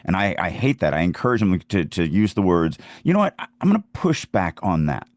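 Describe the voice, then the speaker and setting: Deep-voiced man, emphatic and close. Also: wildly swooping pitch, brisk, no echo. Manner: emphatic, insistent, stresses key words. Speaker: podcast host, writing teacher. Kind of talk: casual explanatory talk.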